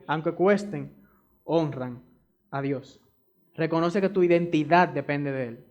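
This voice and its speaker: Emphatic high-voiced preacher. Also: male voice, unhurried, a little mellow, muffled recording. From Dominican Republic. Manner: emphatic, repeats key phrases; addresses the congregation directly. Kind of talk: sermon.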